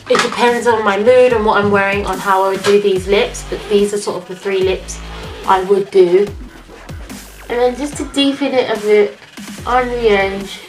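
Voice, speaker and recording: A woman vlogger talking casually through her makeup routine, sounding nasal from a cold. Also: mid-range voice. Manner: chatty, talks while applying makeup. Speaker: beauty vlogger. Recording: echoey room with music behind.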